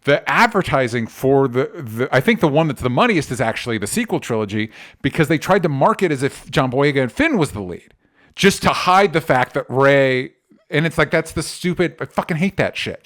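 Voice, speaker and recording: A man's voice: a little high, fairly bright tone. Emphatic male podcast host chatting casually. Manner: emphatic, sweary. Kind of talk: casual opinionated movie chat.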